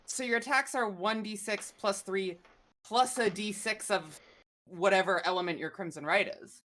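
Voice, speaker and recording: A woman with a mid-pitched voice plays a tabletop game. She talks casually and bluntly, often asking questions. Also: moderate pace, a bit bright.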